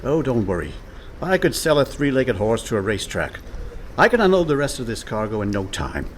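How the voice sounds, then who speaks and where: A man's voice: mid-range voice, sing-song, fairly quick, a little hiss or hum, lightly acted. An actor playing a cheerful, scheming and overconfident con man in a comic radio play, breezy and persuasive. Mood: confident.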